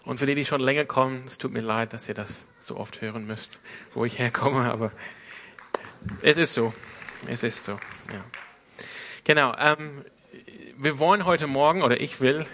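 A man from Sydney, Australia preaches to his congregation in an informal way. His voice is bright and clear in the midrange, and he pauses often with fillers while he looks for the right German word. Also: mid-range voice. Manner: many hesitations and fillers, searching for German words. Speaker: pastor. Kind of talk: sermon.

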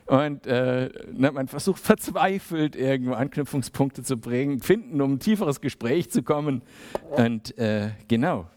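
A man's voice: medium pitch, lively intonation, measured pace, a little mellow. Casual conversational male preacher. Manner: conversational, acts out small dialogues. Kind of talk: sermon.

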